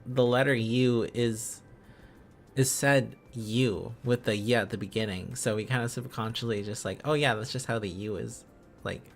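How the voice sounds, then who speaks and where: A man's voice: medium pitch, fairly even intonation, normal speed, gravelly, soft background music. A man live-streaming a casual lesson, thinking aloud in a hesitant, rambling, playful way.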